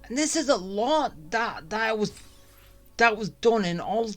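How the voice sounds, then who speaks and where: A game streamer talking casually through gameplay with music playing behind. The delivery is rambling and a little grumbly, like someone thinking out loud. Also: mid-range voice, lively intonation, measured pace. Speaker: gaming streamer.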